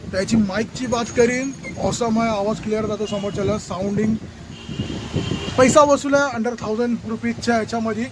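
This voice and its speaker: A man with a Maharashtrian Indian accent talking casually in an unboxing vlog, informally mixing in English words. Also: sits high. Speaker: vlogger. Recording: very noisy, outdoor traffic-like background noise.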